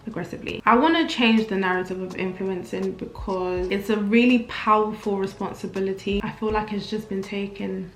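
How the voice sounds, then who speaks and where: A woman's voice: medium pitch, steady tone, fairly quick, few pauses, roomy sound, rough recording. A woman in her twenties from Nigeria with a British accent, chatting candidly while she gets ready at her mirror. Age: 20s. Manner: chatty, candid, self-mocking asides. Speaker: vlogger. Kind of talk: casual get-ready-with-me chat. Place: home room, getting ready at a mirror.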